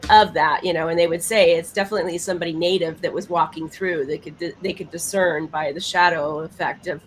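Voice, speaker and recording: A woman with a slightly low voice chats casually on a podcast panel. She is emphatic and circles back over her phrases. Faint noise sits behind her. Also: steady tone, fairly quick. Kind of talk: casual chat.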